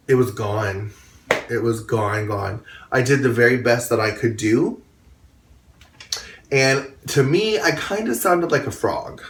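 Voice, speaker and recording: A drag pageant performer talking straight to camera about a pageant, in a low baritone, candid and chatty with quick asides. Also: male voice, reverberant, far from the mic. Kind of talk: casual talking-head recap. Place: indoor room.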